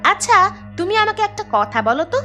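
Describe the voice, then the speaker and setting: Woman acting a shrill, mocking village housewife. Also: sing-song, fast talker, sharp tone, soft background music, heavily acted. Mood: scheming. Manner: mocking, sarcastic, singsong jibes. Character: spiteful, taunting village housewife, scheming with husband. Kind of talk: cartoon voice acting.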